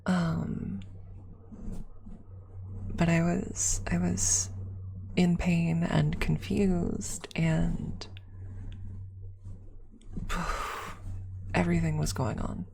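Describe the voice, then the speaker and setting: A woman streamer telling a story in a soft, breathy ASMR style, speaking haltingly and often slipping into a whisper close to the microphone. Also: on the low side, flat delivery, a little hiss or hum. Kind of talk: soft-spoken ASMR storytelling to a live chat.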